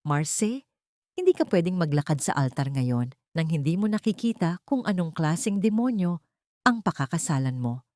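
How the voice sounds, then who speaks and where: A woman's voice: on the low side, sing-song, moderate pace, a little mellow, no echo, studio quality. A woman narrator voicing an alarmed, loyal female best friend in an audio story, urgent and pleading.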